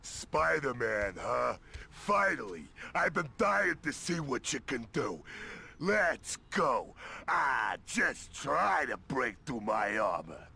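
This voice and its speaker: A man playing a hulking, dim-witted armored villain in a video game, gravelly, taunting and brutish. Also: medium pitch, sing-song, takes it slowly, pushed and bright, slight background noise, exaggerated voice. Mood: menacing taunting.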